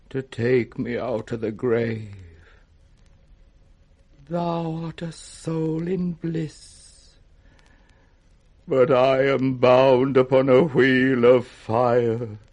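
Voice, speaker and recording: An actor with a British accent playing a frail, confused old king in a 1960s radio Shakespeare, his voice cracked, halting and theatrical. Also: mid-range voice, steady tone, darkish tone, highs cut off. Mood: anguished, dazed. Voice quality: raspy, cracked.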